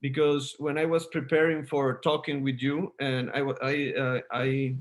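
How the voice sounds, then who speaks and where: Halting man. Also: medium pitch, little pitch movement. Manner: stumbling, repeats words while searching for phrasing. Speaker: interviewer, host. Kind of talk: conversational interview.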